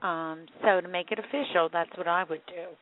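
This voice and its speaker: A woman's voice: lowish voice, steady tone, a little fast. A woman answering a phone line, giving a caller procedural, noncommittal directions. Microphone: telephone. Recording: tinny phone line with faint noise.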